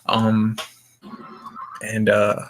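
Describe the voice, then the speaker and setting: A man's voice: fairly low pitch, monotone, drawn-out words. A drunk young man hosting a casual group chat, rambling and swearing as he goes. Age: young adult. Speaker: voice call host.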